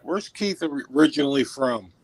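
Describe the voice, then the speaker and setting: A man's voice: a little high, animated, normal speed, creaky. A man on a casual live chat show, curious and asking questions.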